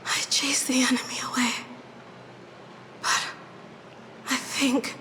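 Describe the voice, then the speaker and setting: A woman's voice: high-pitched, flat delivery, slow pace, bright, forceful tone, whispered, full character voice. An actress playing a loyal, devoted young female warrior in a game, earnest and emotional. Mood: weak, tender. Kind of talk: video game voice acting.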